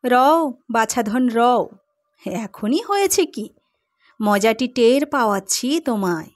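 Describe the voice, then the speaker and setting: A woman voicing a boastful village exorcist man who taunts slowly and drags out his words. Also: on the high side, animated, no echo, studio quality. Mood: taunting. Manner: taunting, drawn-out.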